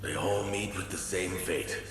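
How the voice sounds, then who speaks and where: An actor playing a scornful ancient warrior spirit with a deep, contemptuous voice, heard from far off in an echoing hall. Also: male voice, unhurried. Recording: echoey far-off hall with music.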